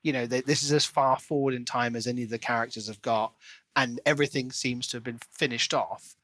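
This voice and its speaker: A man's voice: medium pitch, steady tone, fairly quick, fairly bright tone, dry recording. A man with a mid-range voice talks through a book as a podcast co-host. He is casual but analytical, working out his thoughts as he goes. The sound is dry, with little room on it.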